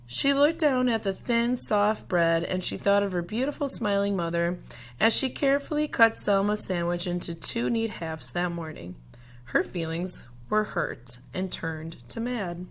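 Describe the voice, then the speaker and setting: A woman reading a children's picture book aloud expressively, in measured phrases with pauses, as a storyteller speaking to young listeners. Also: medium pitch, fairly bright tone.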